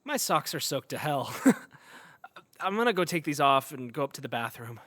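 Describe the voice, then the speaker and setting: A man's voice: mid-range voice, big pitch swings, moderate pace, fairly bright tone, no room sound. An actor playing a reluctant, easily spooked young man who swears, in naturalistic audio drama dialogue. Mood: shaken, resigned.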